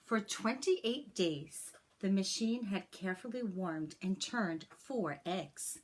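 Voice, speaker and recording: A woman reading a children's picture book aloud like a teacher, with expressive, deliberate phrasing and personal asides to young listeners. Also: mid-range voice, a little hiss or hum.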